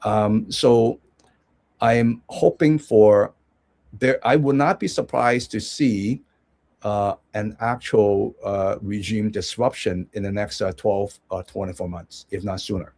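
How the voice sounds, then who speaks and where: A man's voice: lowish voice, steady tone, slow pace, darkish tone. A man from Hong Kong, a guest analyst giving rambling conversational commentary with many restarts and check-ins.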